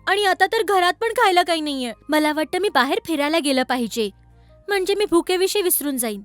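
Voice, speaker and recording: A woman voicing a kind-hearted, innocent young girl in a children's cartoon story, earnest and expressive. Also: extremely high pitch, fairly quick, dry recording, soft background music. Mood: hungry, tired.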